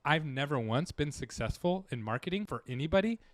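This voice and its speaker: A man's voice: a little high, lively intonation, a little fast, no echo. A man, a dental marketing guest in a casual interview, talking earnestly with many restarts. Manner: earnest, rambling, full of restarts.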